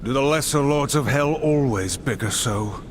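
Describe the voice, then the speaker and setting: An actor playing a stern, brooding warrior horseman in a video game, speaking in a grave, measured, terse way. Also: medium pitch, a little hiss or hum, lightly acted. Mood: stern, suspicious. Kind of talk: video game cutscene acting.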